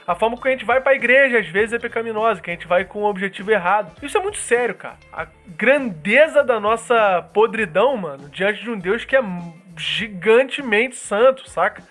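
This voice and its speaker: Animated, slangy young-sounding man chatting. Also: high-pitched, brisk, few pauses, soft background music. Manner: animated, slangy, acts out quoted voices. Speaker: podcast co-host.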